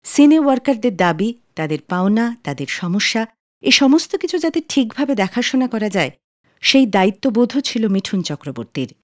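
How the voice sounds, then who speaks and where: A woman hosting a radio show, reading a scripted narration in an expressive, storytelling way. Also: on the high side, moderate pace, no room sound, studio quality. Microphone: close studio microphone. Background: soft music.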